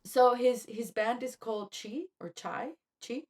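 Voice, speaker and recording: A woman from Colombia co-hosting a podcast, telling a personal story in an animated, chatty way with lots of "like". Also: on the high side, measured pace, crowd noise. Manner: animated, quotes herself, fillers like "like". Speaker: podcast co-host. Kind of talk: casual conversational storytelling.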